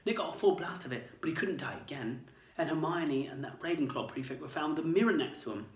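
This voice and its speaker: Earnest teenage schoolboy, read by a man, raised pitch, urgent and eager, roomy distant sound. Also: lively intonation, fast. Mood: excited.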